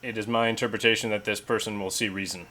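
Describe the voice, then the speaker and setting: A man's voice: on the low side, fairly even intonation, fast talker, bright, forceful tone. A man playing in a tabletop roleplaying game, talking casually and sometimes speaking as his character.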